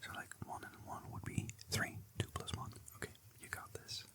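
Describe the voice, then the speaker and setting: An ASMR creator whispering slow, gently encouraging instructions into a close binaural mic. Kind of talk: whispered ASMR focus test.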